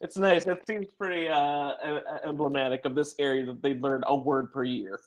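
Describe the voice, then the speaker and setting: A man's voice: fairly high pitch, fairly even intonation, normal speed, low-quality recording. A man hosting a podcast, joking and self-deprecating, with hesitant fillers as he leads a casual interview. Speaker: podcast host.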